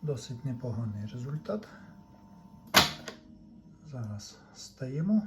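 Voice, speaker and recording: A man's voice: mid-range voice, sing-song, slow, very noisy. A man giving an unscripted, matter-of-fact technical demonstration, talking in short fragments as he works. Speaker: hobbyist repair technician presenting a demonstration.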